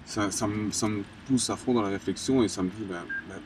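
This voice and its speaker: A young man from Colombia, an interviewee, talking casually in halting phrases full of restarts and fillers. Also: fairly low pitch. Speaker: graffiti artist, interviewee. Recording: distant mic with background noise.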